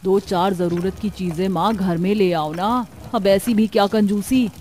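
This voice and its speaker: A woman's voice: medium pitch, fairly even intonation, unhurried, mellow, close to an everyday voice. A woman plays a frustrated young daughter-in-law in a cartoon family story. She complains and exclaims, fed up with the household. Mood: grumbling. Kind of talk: Hindi cartoon story character voices.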